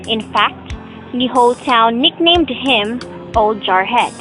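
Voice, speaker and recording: A woman's voice: fairly high pitch, expressive, takes it slowly, fairly bright tone, soft background music, poor audio. A young woman reading scripted storybook narration for an amateur student audio drama. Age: young adult.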